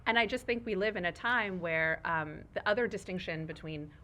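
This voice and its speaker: A woman whose first language is Urdu speaks at a live fireside chat, her voice bright and clear. She talks in a thoughtful, expansive way, often tagging her points with "right?". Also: fairly low pitch, moderate pace. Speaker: guest speaker, foundation leader. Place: event room with audience.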